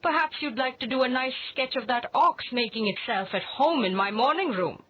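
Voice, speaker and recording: A man voicing an indignant, shrill genteel lady who scolds in a high falsetto. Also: normal speed, slight background noise. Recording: dull lo-fi recording.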